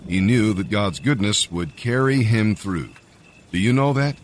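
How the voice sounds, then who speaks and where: A man reading scripture and devotional commentary from a script in a measured, earnest manner. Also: fairly low pitch, animated, slight background noise. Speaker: Bible reader and devotional narrator. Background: music.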